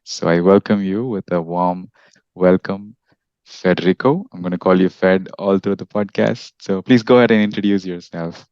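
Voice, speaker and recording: Deep-voiced man hosting a podcast. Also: moderate pace, a little mellow, clean tone, no room sound, muffled recording. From India. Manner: friendly, with frequent restarts and fillers. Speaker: podcast host. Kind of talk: casual podcast interview.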